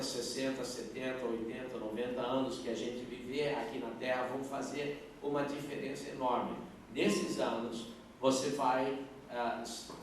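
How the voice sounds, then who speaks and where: A middle-aged male pastor answering questions at a youth service, earnest and halting, with many fillers and restarts. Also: medium pitch. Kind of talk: live Q&A at a youth church service. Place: large church hall. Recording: echoey hall, distant mic, faint noise.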